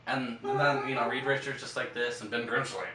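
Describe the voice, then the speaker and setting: Roomy, bright-voiced man chatting casually. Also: medium pitch, steady tone, fairly quick. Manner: slangy, profane. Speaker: podcast co-host. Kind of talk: casual chat. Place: echoing room. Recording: roomy, distant mic, faint noise.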